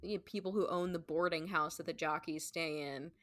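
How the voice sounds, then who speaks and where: A woman's voice: lowish voice, steady tone, a little fast, fairly bright tone. A woman co-hosting a podcast in casual chat, conversational and loose, often saying "like" and "you know". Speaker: podcast co-host, stage performer.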